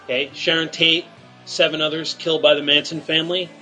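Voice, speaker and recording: A male radio show host leading deadpan teasing banter in a halting, filler-filled setup. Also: on the high side, faint music behind, low-quality recording.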